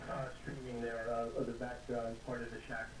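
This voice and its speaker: A man chatting casually as an amateur radio operator, in a halting, rambling manner. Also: medium pitch, flat delivery. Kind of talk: casual ham radio chat. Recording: roomy, noisy ham radio contact.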